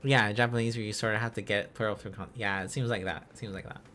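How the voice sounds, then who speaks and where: A man chatting casually as a livestream host, musing aloud in halting, repeated phrases. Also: fairly low pitch, fairly even intonation, a bit bright, slight background noise. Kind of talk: casual livestream chat while learning a language.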